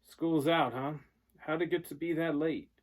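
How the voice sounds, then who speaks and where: A worn-out father speaks in slow, listless sighs, as a man reading a storybook aloud plays him. The voice sounds heavy and defeated, a little set back from the mic. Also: on the high side, fairly even intonation, pitched above the performer's own voice. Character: weary grieving father, sluggish and defeated.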